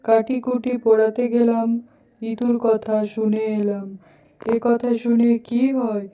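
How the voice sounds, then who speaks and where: A woman chanting ritual verses in a measured monotone, in a distant, dull lo-fi recording. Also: fairly high pitch.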